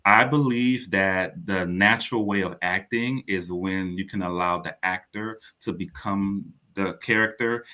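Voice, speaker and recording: A man's voice: low-pitched, measured pace, hardly any pauses, sharp tone. A man speaking as a playwright guest in a radio interview, explaining earnestly and often repeating his phrases.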